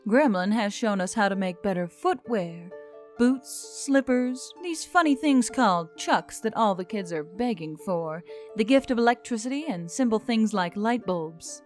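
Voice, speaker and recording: Gentle, earnest otherworldly woman voiced by an actress, over music. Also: medium pitch, expressive, a little slow, lightly acted. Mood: earnest, explaining. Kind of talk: audiobook character dialogue.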